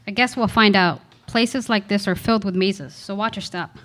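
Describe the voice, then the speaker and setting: A woman's voice: medium pitch, normal speed, a bit bright, natural voice. A student actress playing a wry, game female ex-treasure hunter in an amateur radio play. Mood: curious. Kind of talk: amateur radio theater acting.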